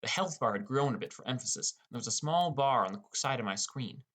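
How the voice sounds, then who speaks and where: A man's voice: lively intonation, brisk, a bit bright. A man reading a first-person fantasy story as an audiobook narrator. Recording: rumbly background noise.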